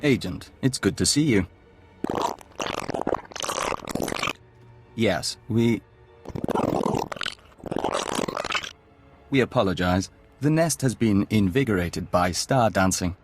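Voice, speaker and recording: An actor playing a serene, courteous envoy of an alien insect hive in a video game, speaking formally and in measured phrases as a collective "we". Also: male voice, on the low side, over loud music, natural voice. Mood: gently reassuring.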